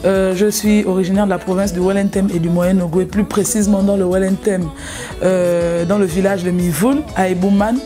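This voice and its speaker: A woman's voice: mid-range voice, steady tone, a little fast, dark tone, soft background music. A young woman from Gabon, a singer, telling her story in an interview, hesitant, with many fillers and restarts. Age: young adult.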